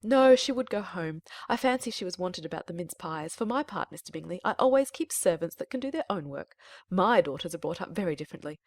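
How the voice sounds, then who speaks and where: A middle-aged society mother, put on by a woman reader, full of gossip and self-importance. Her pitch moves up and down freely as she effuses in a storybook style. The recording is close and dry. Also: lively intonation, fairly quick, no echo. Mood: self-satisfied. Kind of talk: dramatized audiobook character reading.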